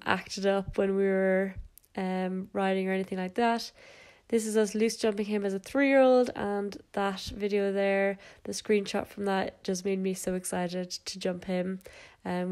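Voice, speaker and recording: A woman's voice: medium pitch, a little mellow. Nasal young woman with a cold. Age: young adult. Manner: casual, chatty, with fillers and little laughs. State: congested with a cold. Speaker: equestrian vlogger. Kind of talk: voice-over narration of horse videos.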